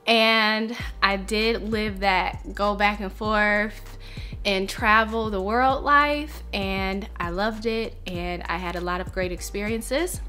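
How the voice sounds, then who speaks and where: A woman's voice: medium pitch, fairly even intonation, pushed and bright, soft background music. A young woman talking to camera on her vlog, earnest and reflective as she shares something personal. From United States. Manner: earnest, reflective, slow and heartfelt. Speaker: vlogger.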